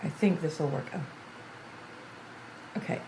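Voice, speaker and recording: An older woman streaming a video game tutorial, chatty and thinking aloud as she plays, her voice going a bit hoarse. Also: deep voice, a little slow, reverberant, background noise. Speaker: gaming streamer. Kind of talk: game tutorial livestream commentary.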